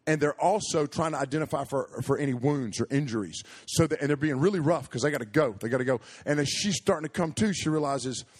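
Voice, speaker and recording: A man preaching a sermon, telling a story with animated, jokey asides and frequent self-interruptions. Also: fairly high pitch, fast talker, hardly any pauses, breathy edge.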